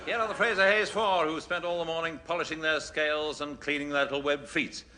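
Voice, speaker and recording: A man's voice: a little high, fairly even intonation, a little fast. A man announcing a scripted radio comedy show in a dry, formal manner. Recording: very noisy 1960s radio broadcast.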